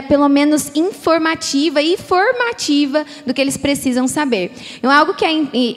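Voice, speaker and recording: A woman moderating a panel for a missionary school, explaining its training to an audience in a teacherly way. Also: high-pitched. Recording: echoey hall sound.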